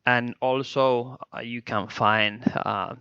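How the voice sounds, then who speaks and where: Spanish man with gravelly bright voice. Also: mid-range voice, fairly even intonation, takes it slowly, no room sound, dull audio. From Spain. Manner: hesitant, many fillers and restarts in a second language. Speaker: guest. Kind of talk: casual interview chat.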